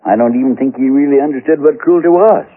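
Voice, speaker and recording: An actor playing a folksy former frontier sheriff with an American accent, telling a grisly tale in a rustic drawl in a radio western. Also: male voice, medium pitch, quick pace, lightly acted. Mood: grim storytelling. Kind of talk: 1970s radio western acting. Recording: muffled, phone-like old radio recording.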